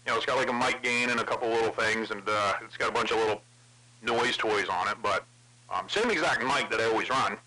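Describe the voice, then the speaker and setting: A man's voice: fairly low pitch, fast talker, pushed and bright. A man chatting casually over CB radio with crude, profane banter. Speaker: CB radio operator. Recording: noisy CB radio transmission with clicks.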